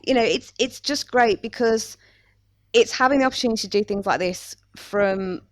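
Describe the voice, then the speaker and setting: A woman's voice: fairly high pitch, moderate pace, no echo, clean studio sound. A woman hosting a podcast in a casual, articulate, playful chat. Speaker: podcast host.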